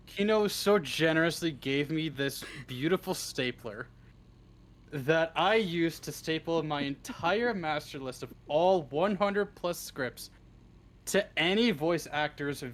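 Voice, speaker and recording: A man chatting casually as an interview guest, halting and jokey, often laughing. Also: a little high, lively intonation. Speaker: interview guest, script writer. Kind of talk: casual chat. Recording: faint background noise on live stream.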